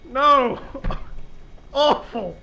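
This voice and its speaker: A man playing an excitable, theatrical gamer in a comedy skit, switching between bombastic announcing and offhand asides. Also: extremely high pitch, extremely slow, creaky, a little hiss or hum, heavily acted. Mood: bombastic, excited. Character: excitable, theatrical male gamer recording his show intro. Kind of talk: comedic animated audio skit.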